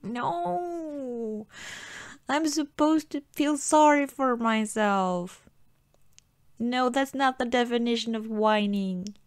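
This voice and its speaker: Woman doing a whiny pouty girlfriend asmr thing, super slow n halting, giggly fake sulking, dry close mic. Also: a little high, clear, resonant tone, no echo. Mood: giggly protesting. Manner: halting, giggly, mock-sulky pleading. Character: playfully whiny, pouting young girlfriend. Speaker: ASMR roleplay performer. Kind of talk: improvised intimate ASMR girlfriend roleplay.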